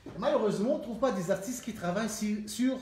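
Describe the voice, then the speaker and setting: Casting: Tunisian-accented man, high-pitched, explanatory tour-guide talk with emphatic repeats, noisy phone recording. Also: fast, darkish tone. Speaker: artist and cultural centre owner showing a visitor around. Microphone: visitor's handheld phone.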